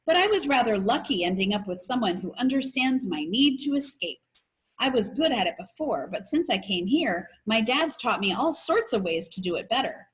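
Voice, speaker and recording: A woman voicing a bright, cheeky young girl escape artist from a children's book, perky and confident. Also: a little high, big pitch swings, moderate pace, low-quality recording.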